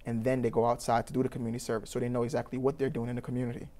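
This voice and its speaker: A man's voice: mid-range voice, monotone, racing, dark tone. A young man from Trinidad with a Trinidadian accent, answering interview questions in an earnest, rambling way full of fillers and restarts. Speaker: youth program founder.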